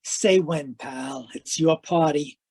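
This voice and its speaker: A man's voice: on the high side, a little mellow, slightly rough, heavily acted. An actor playing a menacing, taunting ex-convict gunman in a noir radio play, talking in cocky gangster slang. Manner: slow, taunting gangster slang, calls people pal.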